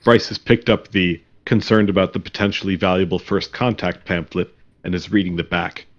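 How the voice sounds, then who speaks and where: Deep, deadpan male narrator, slightly dull audio. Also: normal speed. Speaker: narrator reading stage directions.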